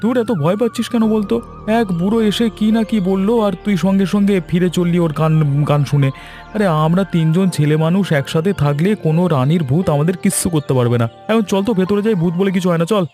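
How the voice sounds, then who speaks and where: A man voicing a bold, adventurous young man in an animated horror story. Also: high voice, fairly quick, soft background music, lightly acted. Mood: scoffing. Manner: eager, scoffing.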